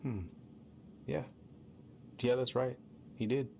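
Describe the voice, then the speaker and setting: A man playing a concerned middle-aged uncle in a naturalistic video game drama, gravelly, earnest and halting. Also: medium pitch, steady tone, mellow, slight background noise. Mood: gentle, reflective. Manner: earnest, halting, repeats words. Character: concerned, gentle middle-aged uncle consoling his niece.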